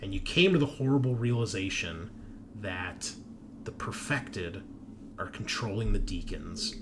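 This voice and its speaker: Guy running a tabletop rpg, lowish voice, kinda halting storyteller, noisy recording. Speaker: game master. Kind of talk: narrating a tabletop roleplaying game. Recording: clear background noise.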